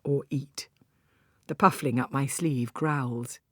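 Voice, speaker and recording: A woman's voice: a bass voice, steady tone, slow. A woman narrating a literary first-person story as a scripted audiobook reading.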